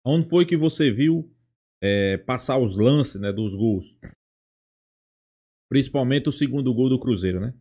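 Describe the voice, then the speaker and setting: Halting Brazilian man, casual football commentary. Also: mid-range voice, expressive, mellow. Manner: halting, checks in with tag questions. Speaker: football channel host.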